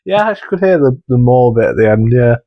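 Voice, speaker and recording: A man with an average-pitched voice in casual, comic conversation. He speaks as a podcast co-host trading reactions with his partner. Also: animated, brisk, muffled recording.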